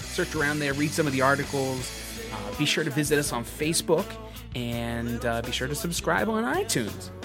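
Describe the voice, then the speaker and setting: A man in his late thirties co-hosting a podcast, talking in an earnest, halting way with lots of fillers and restarts. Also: on the high side, animated, music in the background. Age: 30s. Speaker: podcast co-host. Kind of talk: casual motivational podcast chat.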